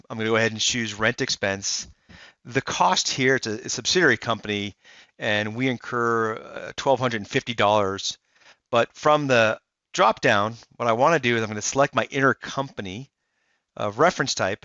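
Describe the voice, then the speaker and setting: A man walking through a software demo in a relaxed, explanatory way, with frequent "uh" fillers. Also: on the low side, dry recording. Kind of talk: screen-recorded software walkthrough. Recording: dull dry voice-over.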